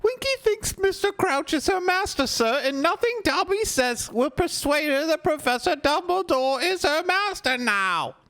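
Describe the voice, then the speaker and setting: A man voicing a drunk, tearful female house elf who is loyal to her master, with a squeaky, slurred voice and broken grammar. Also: big pitch swings, measured pace, few pauses, a bit bright, full character voice.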